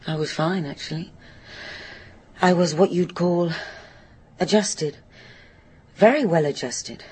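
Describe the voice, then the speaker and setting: A woman's voice: lowish voice, slow, a little mellow, breathy edge. A woman playing a troubled, guilt-ridden woman in her fifties in a radio drama, hesitant and brittle. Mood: brittle, reflective. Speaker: actor in a radio play. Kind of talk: naturalistic radio drama acting, confessional.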